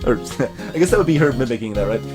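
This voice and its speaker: Animated man doing casual game commentary. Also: medium pitch, fast talker, music in the background. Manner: wry, rambling asides. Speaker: let's play host. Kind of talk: casual game commentary with read-aloud captions.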